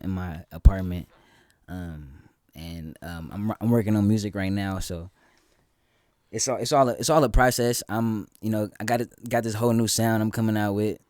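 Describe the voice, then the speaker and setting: Guy from the bay area, deep voice, casual chat, lots of ums, no room echo. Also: clean studio sound. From San Francisco Bay Area, California. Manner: relaxed, many ums and restarts. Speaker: guest musician. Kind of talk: casual conversation.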